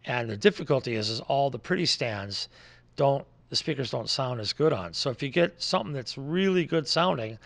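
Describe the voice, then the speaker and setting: A man's voice: mid-range voice, expressive, moderate pace, creaky, fairly bright tone. A man gives an informal, conversational product overview, explaining things as a distributor would. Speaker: loudspeaker distributor presenting products.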